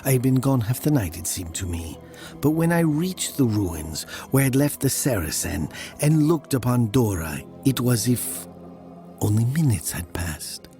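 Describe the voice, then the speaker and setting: Brooding villager narrator, gravelly, deep, deliberate audio drama storytelling, soft music behind. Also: male voice, sing-song. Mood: somber, haunted. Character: brooding male village murderer recounting his dark tale. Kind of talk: dramatic first-person fable narration.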